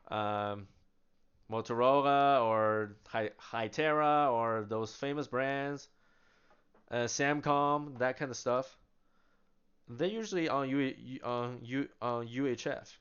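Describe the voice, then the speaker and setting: A man casually demonstrating radio gear, chatty and animated, laughing now and then as he explains. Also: medium pitch, takes it slowly, smooth, lo-fi sound. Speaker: product demonstrator. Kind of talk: casual product demo.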